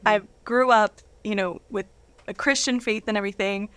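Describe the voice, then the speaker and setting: An adult woman, a guest and reader, speaking at a medium pitch. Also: steady tone, moderate pace, fairly bright tone, smooth, dry recording.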